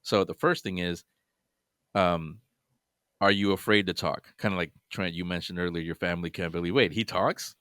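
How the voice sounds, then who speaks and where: A man's voice: deep voice, fairly even intonation, moderate pace, fairly bright tone, clean tone, no room sound, studio quality. A man guesting on a podcast, giving earnest advice in casual chat, often restarting his sentences.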